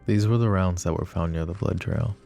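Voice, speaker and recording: A man's voice: deep voice, fairly quick, creaky, warm tone, faint music behind. A man playing a possessive, protective mafia boss in an intimate, sardonic boyfriend ASMR roleplay. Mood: baffled. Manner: intimate, brooding, sighing. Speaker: voice actor.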